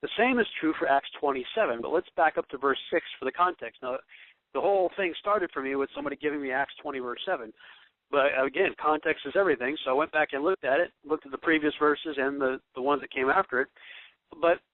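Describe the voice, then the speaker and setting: Male bible teacher, fairly high voice, informal, thinks out loud; dry, tinny phone-quality audio. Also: fast, no echo. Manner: thinking aloud, searching for words. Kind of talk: informal recorded bible study talk.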